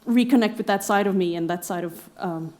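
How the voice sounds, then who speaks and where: A woman's voice: mid-range voice, brisk, darkish tone. A young woman from Norway speaking in a casual podcast conversation, reflective and thoughtful, with frequent fillers and laughter. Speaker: exhibiting artist guest.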